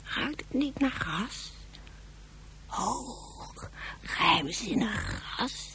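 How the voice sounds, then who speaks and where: A woman's voice: sits high, lively intonation, extremely slow, pushed and bright, slight background noise, heavily acted. An actress playing a drunken, anxious mother in a science-fiction radio play, rambling and clinging. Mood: secretive, hushed. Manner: rambling, repetitive, slurred and dramatic.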